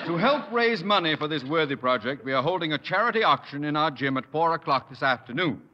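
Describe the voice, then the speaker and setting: A pompous, blustering school principal. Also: male voice, lively intonation, fast, pushed and bright. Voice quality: pompous, booming. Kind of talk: 1950s radio sitcom acting. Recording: dull, noisy old radio broadcast.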